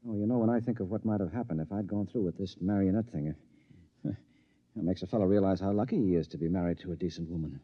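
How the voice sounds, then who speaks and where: A man's voice: low voice, fast talker, husky, no room sound. A blunt, practical married man who takes charge with a commanding, matter-of-fact tone. It sounds dry and muffled, straight off a 1950s broadcast. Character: practical middle-aged husband friend. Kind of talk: 1950s radio acting. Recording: dull 1950s radio recording.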